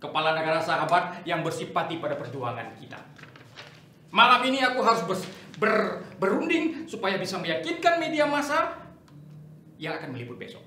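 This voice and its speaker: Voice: mid-range voice, sing-song, moderate pace, pushed and bright, a little hiss or hum. Casting: authoritarian scheming general, acted in a dramatic reading, emphatic oratory with dramatic swings, heard off-mic in an echoey room. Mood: commanding. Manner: declamatory, emphatic, commanding. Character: bombastic, authoritarian general scheming amid political chaos. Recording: echoey room, distant microphone.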